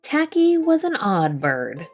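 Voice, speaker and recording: Animated woman reading a picture book. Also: medium pitch, slow, soft background music. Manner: expressive storytelling. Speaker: storyteller. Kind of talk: children's picture book read-aloud.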